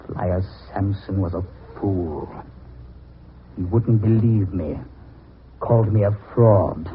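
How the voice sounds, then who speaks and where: An actor playing a vengeful, thin-skinned fortune teller in a radio drama, with measured, formal, ominously polite delivery. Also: male voice, sits low. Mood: smug, aggrieved. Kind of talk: old-time radio drama acting. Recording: noisy tinny old radio recording.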